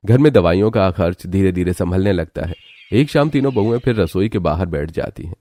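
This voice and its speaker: Very deep-voiced man narrating a story. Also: fairly quick, mellow.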